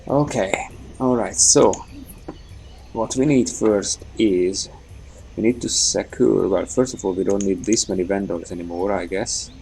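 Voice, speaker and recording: A man giving unscripted let's play commentary, haltingly thinking aloud as he goes. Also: fairly low pitch, gravelly, darkish tone, a little hiss or hum, low-quality recording. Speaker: gaming video host.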